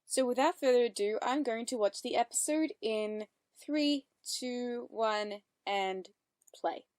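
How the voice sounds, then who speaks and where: A chatty woman hosting a reaction video, with a fairly high voice. She comments casually and reads on-screen captions aloud. The sound is dry and close. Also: slow, darkish tone, no room sound.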